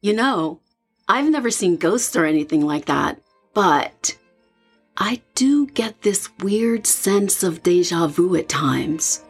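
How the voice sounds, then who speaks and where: Woman acting a young party girl, really husky lowish voice, kinda hesitant n spooked, horror drama. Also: expressive, slow pace, faint music behind, heavily acted. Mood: uneasy, reflective. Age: young adult. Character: young woman partygoer, uneasy and open about eerie feelings.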